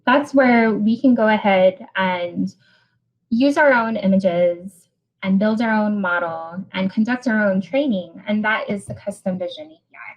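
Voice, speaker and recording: A woman from Hawaii with a Hawaiian accent, giving a technical webinar talk over a video call, often pausing and repeating words as she explains. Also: medium pitch, lo-fi sound. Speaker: presenter.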